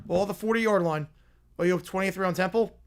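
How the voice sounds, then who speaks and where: A man with a worn, hoarse voice calling college football play-by-play live on a stream, talking to chat between plays. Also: high voice, fast, slight background noise. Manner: excited, rising commentary on big plays, quieter asides to chat.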